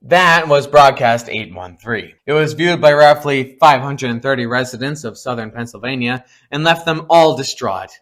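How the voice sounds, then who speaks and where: A man's voice: medium pitch, normal speed, hardly any pauses, a bit bright. A man narrating a scripted explainer, with informal, playful asides to the viewers. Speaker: video essay narrator.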